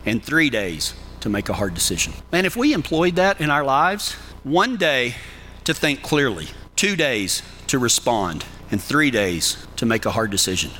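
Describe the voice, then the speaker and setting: Folksy Texan cowboy preacher, bright voice. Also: male voice, medium pitch, big pitch swings, normal speed, slight background noise. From Texas. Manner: folksy, warm-humored, calls listeners "man". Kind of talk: sermon with numbered tips.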